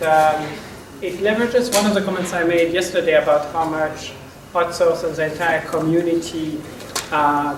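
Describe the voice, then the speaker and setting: Halting male session chair, noisy echoey room. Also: on the high side. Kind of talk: introducing a speaker. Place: conference room. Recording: echoey room, distant mic, clear noise.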